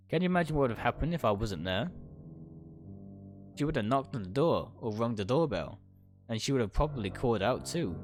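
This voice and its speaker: A man's voice: medium pitch, close to an everyday voice. A man voicing an obsessive, possessive young woman in a visual novel, coaxing yet commanding. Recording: music behind the voice.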